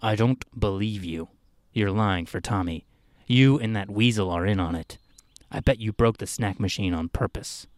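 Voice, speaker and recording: An overbearing male boss from a short story, acted out by a male narrator. He speaks slowly and accusingly in a low, clear voice, full of contempt and menace. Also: no room sound, studio quality, close to an everyday voice. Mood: contemptuous. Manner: slow, menacing, growling.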